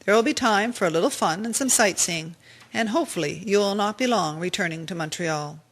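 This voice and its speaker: A woman playing an authoritative hospital matron in a radio drama, speaking in a formal, measured way. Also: medium pitch, lightly acted. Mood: composed, formal.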